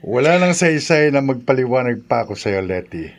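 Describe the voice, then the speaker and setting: A murderous villain of radio horror, theatrical and menacing. His mid-pitched male voice has a heavy creak and a cruel edge. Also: big pitch swings, normal speed. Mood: cold menace. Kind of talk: Filipino radio drama acting.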